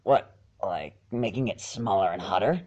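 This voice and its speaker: A man's voice: lowish voice, expressive, normal speed, darkish tone, dull audio, voice pushed down. A man voicing a reluctant but obliging young male dragon in a storybook reading, with a hesitant, grudging manner.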